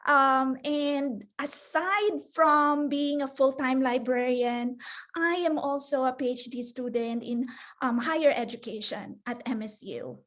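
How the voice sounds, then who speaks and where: Woman, high voice, unhurried, conference presenter, lots of ums and restarts, video call audio. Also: a bit bright. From Philippines. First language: Filipino (Tagalog). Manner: hesitant, many fillers and restarts, laughs often. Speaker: librarian presenter. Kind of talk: conference talk.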